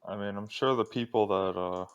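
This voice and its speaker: A young man co-hosting a casual podcast, talking in a droning, flat voice with little emotion and going off on rambling tirades. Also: fairly low pitch, normal speed, smooth, muffled recording. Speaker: podcast co-host.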